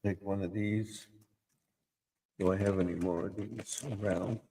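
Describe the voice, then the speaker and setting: Male stream host, scratchy throat, unhurried rambling chat, long reverb tail. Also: fairly low pitch, level tone, gravelly, warm tone. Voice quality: rambling, self-interrupting delivery. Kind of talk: casual live stream chat. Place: home room.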